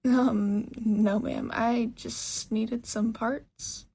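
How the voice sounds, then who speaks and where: Shy teen boy for an audio story, read by a woman: nervous, courteous, stumbling over words, slightly husky. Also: a little high, level tone, drawn-out words, mellow, exaggerated voice. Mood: nervous, polite. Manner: hesitant, stammering, polite.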